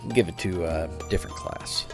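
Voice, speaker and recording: Low-pitched man chatting on a livestream. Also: fairly quick, creaky. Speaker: live game streamer. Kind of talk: casual livestream chat. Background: music.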